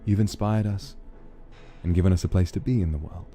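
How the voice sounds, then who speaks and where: A man's voice: low-pitched, brisk, dark tone, soft background music, lightly acted. A man voicing devoted, grateful clan members who speak reverently to their lord. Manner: reverent and formal.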